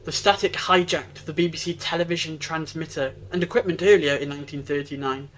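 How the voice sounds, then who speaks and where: A performer playing a wise, gently wry time traveller in an audio drama, speaking in a measured, reflective way. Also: mid-range voice, fairly even intonation, lightly acted, low-quality recording. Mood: explaining, assured. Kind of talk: fan-made audio drama acting.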